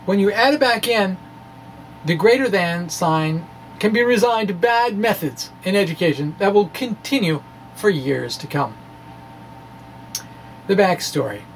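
A man's voice: high voice, poor audio. A middle-aged man presents like a science video blogger, theatrical and folksy. His delivery mixes dramatic reading with jokey, informal asides. He is recorded on a microphone in a small room, with some background noise. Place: small indoor room with bookshelves. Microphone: microphone on a stand in front of him.

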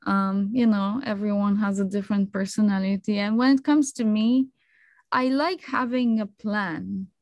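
Polish lady in her 30s on a video call, relaxed, lots of ums and little laughs, dry close sound. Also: medium pitch, moderate pace, no echo, dull audio. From Poland. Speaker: guest, kimono stylist and photographer. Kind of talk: casual video-call interview. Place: small room at home.

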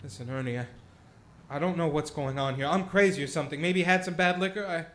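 A man's voice: fairly high pitch, fast, lightly acted. An actor playing a bewildered, desperate family man in a radio play, stammering, agitated and pleading. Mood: frantic. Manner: stammering, excitable.

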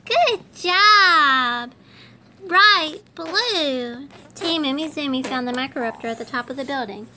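Female reader for children's picture book, bright and high, animated, encouraging a young listener. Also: takes it slowly. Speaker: parent reading a picture book aloud to a child. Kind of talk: read-aloud children's storybook narration. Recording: faint background noise.

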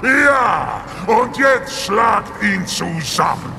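An actor playing a menacing, gloating dragon demon who leads a cult, speaking solemnly and pompously in a radio play. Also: male voice, high voice, sing-song, very slow, gravelly, raised voice, exaggerated voice. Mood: triumphant, shouting. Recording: long cavernous reverb, music underneath. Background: dramatic music.

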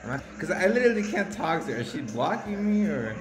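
A man's voice: high-pitched, very animated, brisk, echoey room, a crowd in the background. A man in his twenties chatting playfully and flirting on a webcam in a small room, laughing often. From United States. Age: 20s. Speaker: vlogger chatting with strangers. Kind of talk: casual chat.

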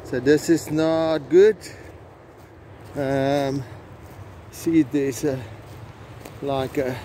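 A middle-aged South African man, an Afrikaans speaker, talks slowly into a handheld phone while out on a coastal trail. He hesitates often and restarts his sentences. Faint outdoor noise sits behind his voice. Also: mid-range voice, steady tone, warm tone. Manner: slow, halting, with many fillers and restarts. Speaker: vlogger host. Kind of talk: casual outdoor vlog narration. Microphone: phone camera held by hand.

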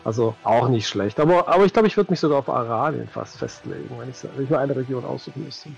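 A man's voice: medium pitch, quick pace, creaky, rough recording. A middle-aged man chatting casually and reflectively as an interview guest on a video call, often hedging as he thinks. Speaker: interview guest, tabletop game master. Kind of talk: casual chat. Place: home room, indoors, on a video call. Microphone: headset. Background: faint music.

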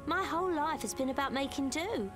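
A middle-aged mother in an audio drama, resentful and wistful. Her voice is on the high side and plain-spoken, with clear music playing beneath it. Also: lively intonation, fairly quick. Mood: bitter, hurt. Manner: plain-spoken, emotional monologue. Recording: music under the voice.

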